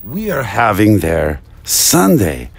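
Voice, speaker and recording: Male, slow and theatrical, taunting delivery with big pitch swings, rambling mock phone-call monologue. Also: huge pitch swings, breathy edge, no room sound. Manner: theatrical, taunting, with drawn-out words and repetitions.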